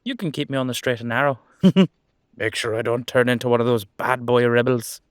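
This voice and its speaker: A man's voice: medium pitch, moderate pace, clean tone, dry recording, clean studio sound, natural voice. Man playing a cheeky, easygoing immortal horseman. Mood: playful, casual. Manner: casual, rambling, reassuring. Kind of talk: roleplay audio drama.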